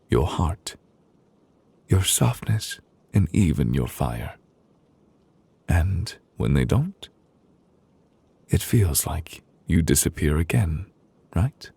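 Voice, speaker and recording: Casting: actor as tender, solemn male fantasy judge for ASMR roleplay; extremely low, husky, close and reassuring. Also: no echo, heavily acted. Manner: slow, deliberate, gently reassuring with pauses. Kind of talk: intimate ASMR audio roleplay. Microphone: close, dry studio microphone.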